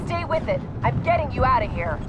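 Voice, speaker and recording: An actress playing an urgent, commanding military operative in a shooter game, giving clipped directions to soldiers. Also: female voice, on the high side, big pitch swings, brisk, very noisy. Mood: steady, reassuring. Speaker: video game character. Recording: loud action music and gunfire behind. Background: loud music, gunfire, vehicles.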